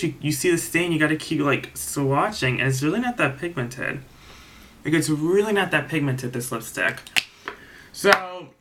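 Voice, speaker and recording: A man's voice: fairly high pitch, pushed and bright. A young man giving a casual, rambling review of a reality show episode, swearing freely and retelling scenes as he goes.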